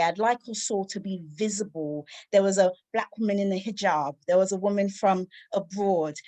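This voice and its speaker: A woman's voice: medium pitch, darkish tone. A woman, giving an upbeat, candid personal talk over a video call with frequent ums. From London. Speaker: lightning talk speaker, computer science teacher.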